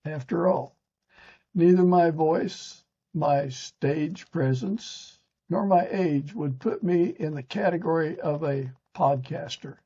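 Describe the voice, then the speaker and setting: Husky older man reading stiffly, dull recording. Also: on the high side, slow pace, mellow. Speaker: podcast host. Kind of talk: scripted narration read from a teleprompter. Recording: dull, lo-fi sound.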